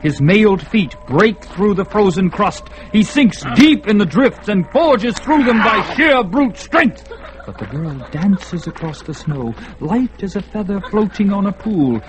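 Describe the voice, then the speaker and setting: Slow, dramatic male radio narrator. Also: high-pitched, animated, soft background music, rough recording. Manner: dramatic, measured, rising with the action.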